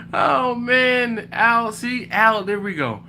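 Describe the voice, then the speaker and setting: A man's voice: very high-pitched, a little hiss or hum. Philly man chatting on a livestream. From Philadelphia. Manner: animated, acts out back-and-forth dialogues. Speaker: host of a barbecue livestream. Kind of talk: casual livestream chat reading comments.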